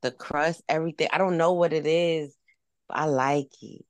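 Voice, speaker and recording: A woman's voice: deep voice, fairly even intonation, moderate pace, no room sound. A woman in a casual podcast chat among friends, laid-back and easygoing.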